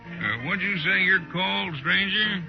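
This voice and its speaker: Animated, prying saloon cowboy. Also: male voice, sits high, a little slow, vocal fry, loud music behind the voice, exaggerated voice. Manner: nosy, insistent folksy cowboy talk. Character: prying, needling frontier saloon drinker. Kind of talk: 1950s radio western acting. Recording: dull vintage radio recording.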